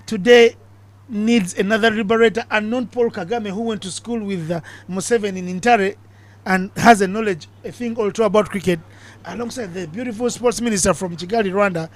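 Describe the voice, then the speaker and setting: A man commentating on cricket live from a commentary box, bantering and joking between balls and getting excited on big hits. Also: high voice, fairly quick. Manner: chatty, jokey banter with big excited calls.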